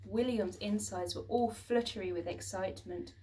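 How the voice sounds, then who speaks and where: A woman reading a children's book aloud as expressive, dramatic storybook narration. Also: medium pitch, normal speed, distant mic, rough recording. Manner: dramatic, with acted sound words.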